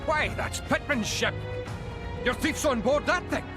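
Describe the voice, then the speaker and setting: Very high-pitched, easygoing boatman. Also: unhurried, over music. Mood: obliging, curious. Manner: casual, agreeable. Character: easygoing, obliging boat owner. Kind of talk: video game cutscene acting.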